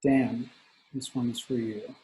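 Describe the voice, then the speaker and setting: Husky, mellow-voiced panel moderator, a man. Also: mid-range voice, flat delivery, takes it slowly, low-quality recording.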